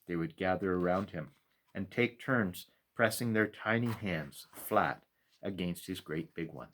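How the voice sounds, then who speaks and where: A man with a low voice narrates a story for children. He reads in a measured storytelling style, shaping phrases expressively and leaving deliberate pauses. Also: fairly bright tone, slight background noise. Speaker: pastor reading a children's story. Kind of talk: storytime read-aloud narration.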